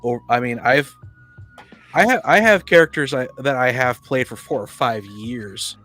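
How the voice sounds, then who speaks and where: A man's voice: mid-range voice, a little slow, fairly bright tone, soft background music. A man chatting on a discussion panel, often stammering and repeating words as he makes his point. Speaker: podcast panelist, tabletop player. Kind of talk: casual discussion of tabletop gaming.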